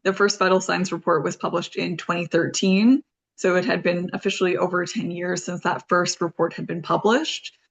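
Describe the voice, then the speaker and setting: A woman's voice: lowish voice, little pitch movement, moderate pace, creaky. A woman hosting an informal presentation as a community foundation leader, speaking in loosely structured, promotional phrases. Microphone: video call. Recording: slightly dull, lo-fi online call audio.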